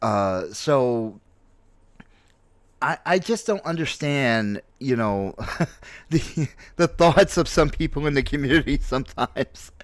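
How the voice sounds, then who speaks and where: A man's voice: mid-range voice, lively intonation, dry recording. Man in his late forties, dry close sound. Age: late 40s. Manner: halting, emphatic, repeats phrases for stress. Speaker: gaming video host and streamer. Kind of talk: casual unscripted opinion commentary on a game story.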